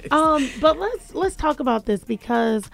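A woman with a deep, raspy voice hosting a casual radio talk show from a studio, talking with lots of hype, repetition and fillers. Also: animated, a little slow.

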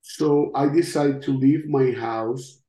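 Slow-speaking man with a Costa Rican accent. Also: mid-range voice, fairly even intonation, mellow. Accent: Costa Rican Spanish. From Costa Rica. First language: Spanish. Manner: halting, reflective, with long pauses. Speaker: interview guest, podcast host. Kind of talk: personal testimony in an interview. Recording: roomy, echoing sound.

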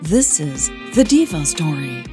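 Woman, low pitch, unhurried pace, scripted story narration, measured phrasing, music behind. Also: big pitch swings. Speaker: podcast host and narrator. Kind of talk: scripted audio fiction narration.

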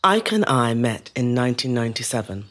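A woman playing a resilient middle-aged woman telling her life story in a measured, reflective dramatic monologue. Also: very deep voice, sing-song, fairly bright tone, exaggerated voice. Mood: fond, nostalgic. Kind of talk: scripted dramatic monologue, first-person storytelling.

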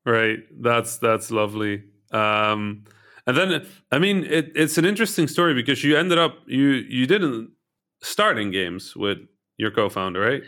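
A man from Egypt hosts a casual interview with a mid-range voice. He talks haltingly, full of ums and restarted phrases. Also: mid-range voice, bright, forceful tone. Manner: hesitant, frequent ums and restarts. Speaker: podcast host.

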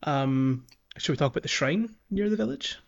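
A man's voice: a little high, lively intonation, moderate pace, gravelly, muffled recording. A man co-hosting a gaming podcast, chatting casually and thinking aloud with frequent ums. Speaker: podcast co-host.

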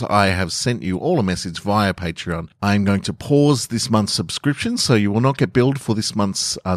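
A man's voice: low voice, dry recording, clean studio sound. A man with an Australian accent hosting a podcast, talking informally and chattily in a self-effacing way. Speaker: podcast host and writer. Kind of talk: casual podcast announcement.

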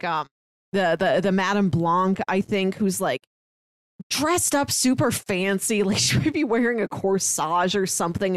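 Woman doing a casual film recap, mid pitch, really dry close recording, kinda wry. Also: smooth, no room sound. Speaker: podcast co-host reviewing films.

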